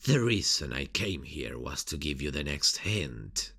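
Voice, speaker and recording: A man playing a sadistic, playful serial killer in an ASMR roleplay, mocking and teasing in a husky, gravelly voice with drawn-out delivery. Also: rumbling low, sing-song, sharp tone, exaggerated voice. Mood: playful menace.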